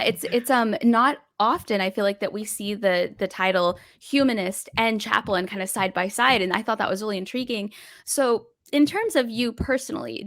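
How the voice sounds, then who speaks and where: Chatty, enthusiastic podcast hostess. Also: female voice, a little high, a bit bright. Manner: chatty and enthusiastic, quick reactions. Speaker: podcast host. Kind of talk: casual interview.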